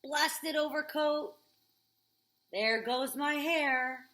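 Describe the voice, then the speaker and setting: Woman putting on a slow, grumbling old man. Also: sits high, pushed and bright, voice pushed up, full character voice. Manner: grumbling, drawn-out, emphatic. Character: grumpy old man grumbling about the rain.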